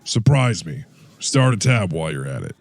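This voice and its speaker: An actor playing a gruff, sardonic, angry superhero in an audio drama, speaking curtly and sarcastically. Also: male voice, low-pitched, very animated, normal speed, soft background music, heavily acted. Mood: curt irritation. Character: gruff, sardonic, angry middle-aged male superhero drinking alone.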